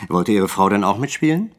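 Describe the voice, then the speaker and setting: An actor playing a senior police detective in a radio play, questioning with dry, formal politeness. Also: male voice, lowish voice, sing-song, moderate pace. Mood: probing, polite. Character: senior police detective, probing, dryly sarcastic interrogator.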